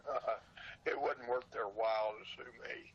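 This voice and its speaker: Husky older man, folksy and halting. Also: mid-range voice, steady tone, lo-fi sound. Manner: folksy, halting, repeats phrase openings. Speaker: podcast guest, old radio show collector and seller. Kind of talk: casual reminiscing interview.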